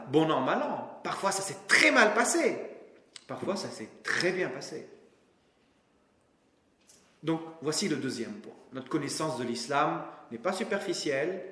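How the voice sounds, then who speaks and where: Animated man lecturing in echoey room. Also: a little high. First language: Arabic. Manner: didactic, emphatic, rhetorical questions and short punchy asides. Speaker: university professor, lecturer. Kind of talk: public lecture. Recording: roomy, echoing lecture room.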